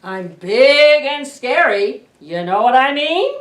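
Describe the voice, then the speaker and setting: A woman voicing a big, scary but playful storybook monster, chanting boastfully in loud, drawn-out rhythm. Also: sits high, sing-song, voice pushed up, exaggerated voice. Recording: roomy, distant camera microphone.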